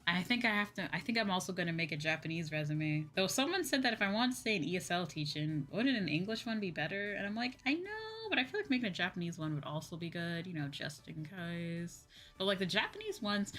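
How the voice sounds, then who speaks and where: A woman's voice: on the low side, animated, fairly quick, a bit bright. A woman talking casually to viewers on a livestream, informal and conversational, peppering her talk with "like" and "you know". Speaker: VTuber livestream host. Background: background music.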